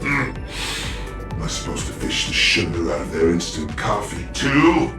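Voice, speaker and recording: A man's voice: sits low, very animated, measured pace, reverberant, far from the mic, music in the background. A man voicing a flamboyant, self-mocking corporate security officer in a video game, with a swaggering, glib, theatrical delivery. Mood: wry, joking.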